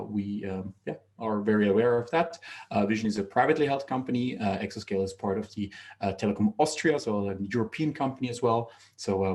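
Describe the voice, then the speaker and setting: Low-voiced man presenting, full of fillers. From Finland. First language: Finnish. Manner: frequent um and uh fillers, restarts mid-phrase. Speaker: company co-founder presenting in a webinar. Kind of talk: product presentation. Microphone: video call.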